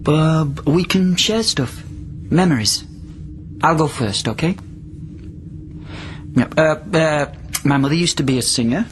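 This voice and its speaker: An actor playing a desperate confined patient from the past in a radio drama, speaking in an emotional, halting, plaintive way. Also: male voice, on the high side, animated, slight background noise. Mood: tentative. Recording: radio drama with music underneath.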